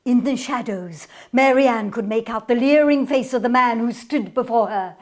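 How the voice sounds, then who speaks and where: A woman performer presenting a radio play in a deliberate, theatrical storytelling style. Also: a little high. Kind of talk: theatrical scripted narration and demonstration.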